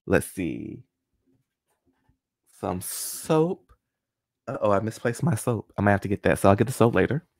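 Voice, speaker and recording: A man's voice: lowish voice, sing-song, measured pace, gravelly, pauses often, dry recording, clean studio sound. A man in his forties, a guest scientist, friendly and step by step, walking viewers through a hands-on experiment at home. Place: small home room. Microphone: studio condenser mic with pop filter and headphones.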